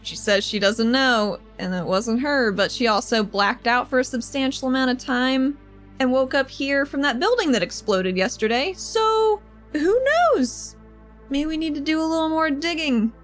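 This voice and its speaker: Casting: female player as caring, blunt game-world investigator, higher voice, informal and halting, soft music bed. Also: expressive. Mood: uneasy, halting.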